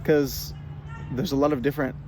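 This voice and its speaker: A young man from Fresno, California, answering oral history questions in a halting way, full of "um"s and restarts. His voice sits in an ordinary middle pitch. Traffic and street noise run clearly under the recording. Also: steady tone. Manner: hesitant, many fillers and restarts. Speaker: interviewee.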